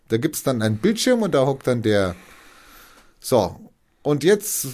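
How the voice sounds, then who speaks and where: A man's voice: fairly high pitch, darkish tone. A man who helps refugees, explaining in a casual conversation, acting out quoted dialogue and often restarting his sentences.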